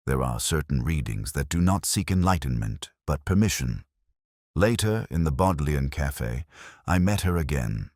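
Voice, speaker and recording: A man reading a first-person mystery story in a measured, reflective way. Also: extremely low pitch, lively intonation, a little mellow, no echo, clean studio sound. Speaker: narrator.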